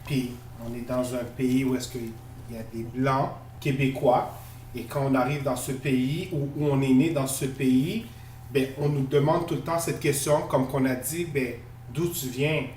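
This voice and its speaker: A man's voice: medium pitch, fairly even intonation, a little slow, a little mellow, distant mic. A man from Quebec with a Quebec French accent, co-hosting a podcast in casual discussion, earnest and emphatic, repeating words as he searches for them. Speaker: podcast co-host. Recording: echoey room, off-mic, faint noise.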